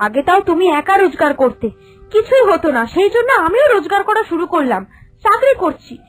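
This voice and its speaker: A woman voicing a melodramatic, excitable young wife in a cartoon, with an emphatic, plaintive delivery that repeats phrases. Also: very high-pitched, brisk, soft background music. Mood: bitter complaining. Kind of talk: dramatic cartoon voice acting.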